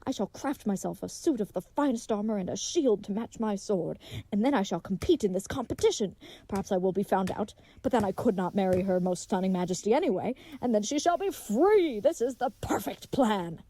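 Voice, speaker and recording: A woman's voice: medium pitch, expressive, brisk, warm tone, no echo. A woman playing a gallant, dim and earnest leathersmith turned would-be knight, with pompous, flowery speech in a comedic audio drama. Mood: determined.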